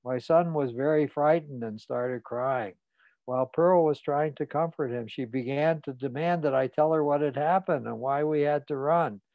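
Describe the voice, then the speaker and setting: A man's voice: fairly high pitch, dry recording, narrow, tinny audio, natural voice. A man reading an audiobook, voicing a frightened, ashamed man who speaks in a muted voice. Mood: shamed. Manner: muted, halting, confessional. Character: ashamed, frightened middle-aged man confessing.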